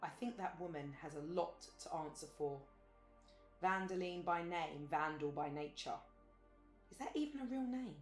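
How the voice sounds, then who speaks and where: A woman's voice: fairly low pitch, moderate pace, soft background music, natural voice. A woman playing a sardonic, exasperated but loyal friend in a naturalistic audio drama, with a dry manner. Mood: sympathetic. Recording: roomy, echoing sound.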